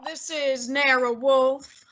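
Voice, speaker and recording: A young woman voicing a formal, fussy genius male detective in an old-time radio drama, speaking in a deliberate, exacting manner. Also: high voice, fairly even intonation, dull audio, noisy background. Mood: reasoning. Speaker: student actor.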